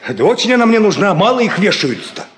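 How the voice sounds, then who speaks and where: A slippery young village ladies' man, folksy and coaxing, really lively pitch, old radio play. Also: quick pace. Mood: evasive, protesting. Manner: cajoling, folksy colloquial speech. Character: cocky, evasive young peasant farmhand, a ladies' man. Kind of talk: 1950s Soviet radio play acting.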